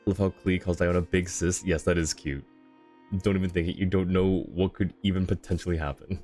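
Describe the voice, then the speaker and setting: A man livestreaming a game, casually commenting and joking with viewers in a halting, self-interrupting way. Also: deep voice, fairly even intonation, dark tone, faint music behind.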